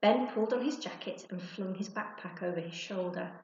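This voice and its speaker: A woman's voice: medium pitch, brisk, a little mellow, highs cut off. A woman narrating a children's storybook expressively, like a teacher reading to her class. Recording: echoey, roomy sound.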